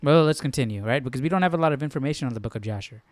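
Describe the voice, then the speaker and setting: A man's voice: mid-range voice, rapid-fire, dry recording. A man hosting a livestreamed study, commenting casually as he goes. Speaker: host of a Bible study livestream. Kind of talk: casual live commentary on a reading.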